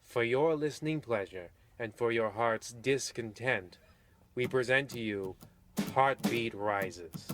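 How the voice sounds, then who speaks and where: A man's voice: medium pitch, slow pace, over music, natural voice. A man playing an ominous, genteel horror host, narrating a parody of old radio with pompous, theatrical deliberation. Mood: ominous, courtly.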